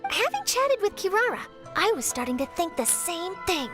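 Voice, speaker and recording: Eager young reporter woman, very high, animated intonation, chatty, upbeat, anime game dialogue, background music. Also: moderate pace. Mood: earnest. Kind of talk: anime-style game voice acting.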